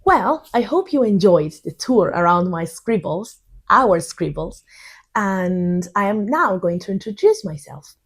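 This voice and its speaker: A woman's voice: medium pitch, big pitch swings, measured pace, breathy edge. A young woman from southern Spain, a native Spanish speaker, talks through an informal English lesson in a thoughtful, rambling way with many fillers. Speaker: English teacher.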